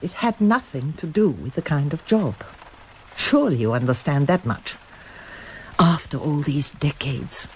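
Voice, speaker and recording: Anxious mother, lower-pitched and expressive. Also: a little slow, a little hiss or hum. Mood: guarded, reproachful. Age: middle-aged. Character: anxious, reserved middle-aged mother and wife, quietly stubborn. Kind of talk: radio drama acting, with first-person narration.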